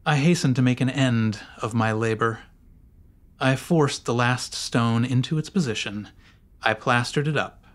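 A man reads a gothic horror story in a mid-range voice. His delivery is deliberate and theatrical, with a brooding air throughout. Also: medium pitch. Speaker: audiobook narrator. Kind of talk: dramatic gothic horror narration.

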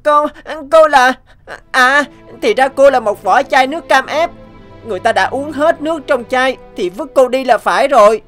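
A woman's voice: high voice, moderate pace, fairly bright tone, soft background music. A woman voicing a naive, proud and anxious plastic bag in a children's cartoon, exclamatory and plaintive. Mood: indignant, dismayed. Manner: exclamatory, plaintive, wide-eyed. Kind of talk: animated children's cartoon acting.

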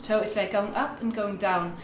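A woman's voice: medium pitch, steady tone, normal speed, distant mic, slight background noise. Young woman teaching, echoey room. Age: young adult. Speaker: violin teacher. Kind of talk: instructional tutorial talk, explaining to camera. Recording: roomy, echoey indoor sound.

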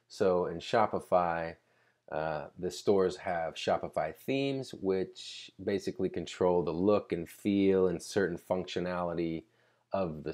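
A man giving a casual, unscripted screen-recording tutorial, explaining conversationally and pausing mid-sentence to think. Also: deep voice, darkish tone. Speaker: tutorial presenter.

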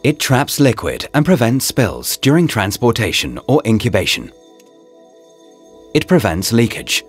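A man reading a scripted promotional voice-over in short, deliberate, presentational phrases, as a product narrator. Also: mid-range voice, big pitch swings. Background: background music.

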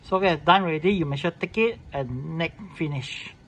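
A man walks through a device setup in an unscripted way. His voice sits a little high, and his phrasing is clipped and repetitive. Light noise runs behind the recording. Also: a little fast, a bit bright. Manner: repetitive clipped phrasing in non-standard English. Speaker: tutorial host showing a device setup. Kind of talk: informal unscripted step-by-step product demonstration. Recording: clearly noisy room recording.

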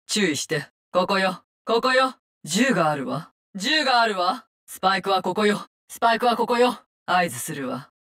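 An actress playing a cold, vengeful poison-wielding assassin in a Japanese-dubbed video game, speaking in curt, contemptuous, commanding lines. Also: female voice, medium pitch, fairly bright tone.

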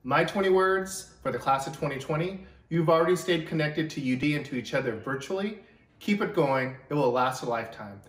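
A man's voice: a little high, expressive, a little fast, a bit bright. Upbeat man in an echoey room. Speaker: alumni association president. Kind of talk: short recorded congratulations.

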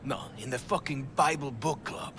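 A man's voice: mid-range voice, moderate pace, noisy background, natural voice. A man playing a brash, loyal, sardonic street gang member in a video game. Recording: crowd chatter behind the voice.

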